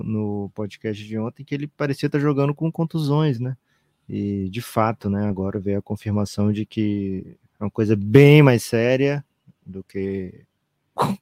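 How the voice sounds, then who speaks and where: A man's voice: on the low side, darkish tone, dry recording, clean studio sound. A man co-hosting a casual sports podcast, talking conversationally and often adding tag questions. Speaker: podcast co-host.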